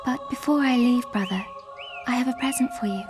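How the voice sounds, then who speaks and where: A woman plays a mysterious blind prophetess from a fantasy game. Her voice is high, breathy and half-whispered, solemn and tender, with music clearly behind it. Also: brisk, close to an everyday voice. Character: eerie blind seeress, grave, gentle, foreboding elder sister. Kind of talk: video game cutscene dialogue.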